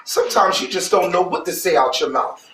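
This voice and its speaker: An actor in a stage play playing a patient, devout husband with an expressive delivery. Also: male voice, sits high, fairly quick. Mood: mildly reproving. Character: patient, devout husband judging a children's script contest. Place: theatre stage. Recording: echoey stage recording, faint noise.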